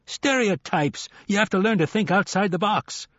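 A man's voice: high voice, animated, moderate pace, a bit bright, no room sound, dull audio, voice pushed up. A man voicing a brash, tipsy, foul-mouthed bearded leprechaun in an audiobook, blunt and cocky. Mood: indignant. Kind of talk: audiobook character acting.

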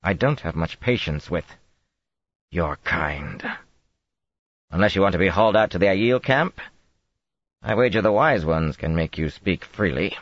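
A man's voice: low-pitched, animated, unhurried, pauses often, dry recording, highs cut off, full character voice. A man playing an icy, commanding young lord. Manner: icy, threatening.

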